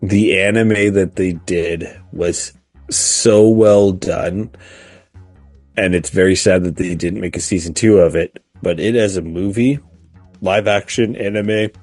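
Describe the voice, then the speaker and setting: A middle-aged man co-hosting a casual podcast, speaking haltingly as he searches for words. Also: low-pitched, a little mellow, no room sound. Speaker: podcast co-host. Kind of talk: casual chat about video games.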